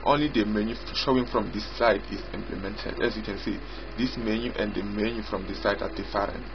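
A man giving an unscripted software walkthrough as its developer, explaining haltingly and repetitively in short phrases. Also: lowish voice, steady tone, background noise. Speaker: software developer demonstrating own app. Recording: dull lo-fi audio with loud music. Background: loud music.

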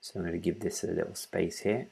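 A man in his thirties giving an informal step-by-step software tutorial, haltingly, thinking aloud as he works. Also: low-pitched, fairly even intonation, gravelly, breathy edge. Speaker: tutorial presenter. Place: small room at home, indoors.

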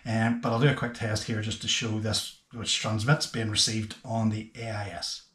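A man, hosting a casual boating explainer to camera in a hesitant, unscripted way with frequent pauses. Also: on the low side, level tone, fairly bright tone. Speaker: boating video host. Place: small indoor workshop room with bare walls. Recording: echoey bare room.

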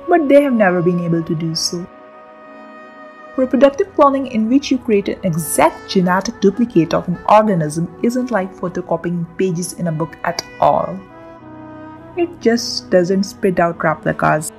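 A woman hosting a science channel, reading a scripted explainer in a lively, emphatic way. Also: lowish voice, moderate pace, soft background music. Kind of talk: scripted educational narration. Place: voice-over with soft background music.

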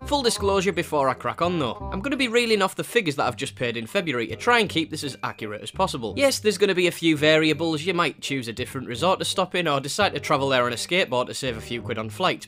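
A man's voice: fairly high pitch, very animated, quick pace, few pauses, sharp tone. A young man from northeast England with a northeast English accent, narrating a travel vlog voice-over with cheeky, jokey asides. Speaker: travel vlogger. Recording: voice-over with clear music bed.

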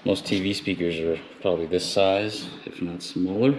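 A man's voice: deep voice, fairly even intonation, normal speed. A man giving an informal, off-the-cuff walkthrough as an installer hosting a job-site vlog, recorded indoors on a handheld camera. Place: large open living room with high ceiling, indoors. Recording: clearly noisy camera audio, clicks.

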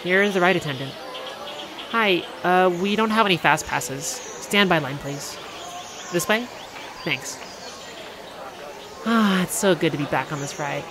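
A performer voicing a cheerful, affectionate girlfriend on a theme park date, speaking gently and unhurriedly in a one-sided ASMR roleplay. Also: medium pitch, animated, background noise, lightly acted. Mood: upbeat. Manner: gentle, unhurried, softly conversational.

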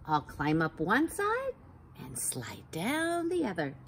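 A woman in her forties reading a picture book aloud to young children outdoors, slow and sing-song, sounding out letters and gasping for effect. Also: fairly low pitch, wildly swooping pitch, a bit bright, a little hiss or hum. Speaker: storytime reader for children. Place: backyard patio outdoors by a wooden fence.

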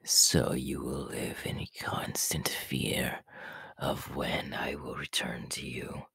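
Sinister, possessive male snake villain for ASMR roleplay: extremely deep, very slow, raspy and menacing. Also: level tone, bright, forceful tone, no echo, exaggerated voice. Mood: possessive menace. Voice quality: breathy, raspy whisper. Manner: slow, drawn-out, gloating.

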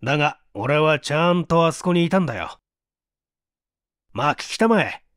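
A man's voice: a little high, expressive, moderate pace, fairly bright tone, dry recording, studio quality. A man voicing a smug, eager young man in a storybook reading, confident and teasing. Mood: smug, explaining.

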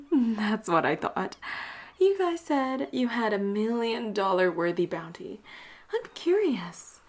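A woman acting a flirty, dominant and cocky female pirate captain in a roleplay audio drama, teasing and breathy, often dropping toward a whisper. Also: on the high side, full character voice. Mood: teasing, curious. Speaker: voice actor.

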